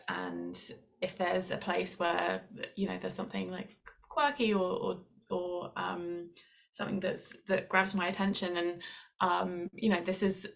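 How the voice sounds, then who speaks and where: Woman with a gravelly, creaky voice. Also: fairly low pitch, a bit bright. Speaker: short story writer. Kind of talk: casual talk about own writing process.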